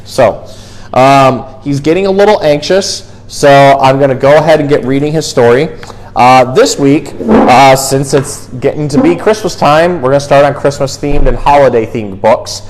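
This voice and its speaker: A man's voice: mid-range voice, moderate pace, a little mellow, slight background noise, poor audio. A man with a mid-range voice presents as a zookeeper. He talks casually and explains things in an informal, educational way, as in an animal show with storytime.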